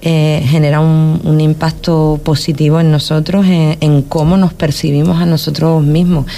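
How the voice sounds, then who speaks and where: A woman's voice: sits low, level tone, normal speed, dark tone. A woman from Spain hosting a local radio show, talking in a thoughtful casual way with many restarts and tag questions. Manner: thoughtful, repeats words and adds tag questions.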